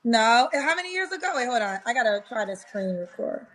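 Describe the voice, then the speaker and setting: Chatty woman hosting a live stream, high bright voice. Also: sing-song, a little fast, poor audio. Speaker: dating show host. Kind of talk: casual live-stream chat.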